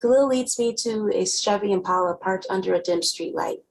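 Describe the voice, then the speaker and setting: A woman playing a wry, guarded, sharp-tongued teenage girl who narrates her own story conversationally in a dramatic novel reading. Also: medium pitch, brisk, creaky, a little hiss or hum, lightly acted. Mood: tense. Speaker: actor.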